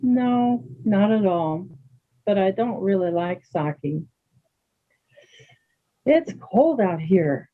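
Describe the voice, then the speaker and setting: A woman playing a calm, dignified older yoga teacher in readers theater, chatting and gently probing with questions. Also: mid-range voice, drawn-out words, pauses often. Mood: friendly, inviting. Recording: thin phone-like band-limited sound.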